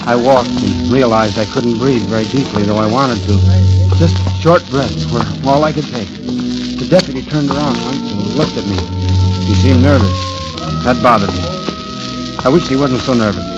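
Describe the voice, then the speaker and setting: An actor playing a grave, haunted condemned man who tells his own story haltingly, in the style of 1940s radio drama. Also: medium pitch, close to an everyday voice. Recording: dull vintage radio recording, loud music.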